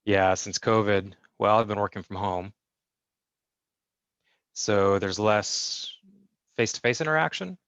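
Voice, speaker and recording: A man working as a sign language interpreter, voicing a deaf guest's answers in the first person in a measured way, with frequent fillers and restarts, heard over a video call. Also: lowish voice, fairly bright tone, clean tone, no room sound, dull audio.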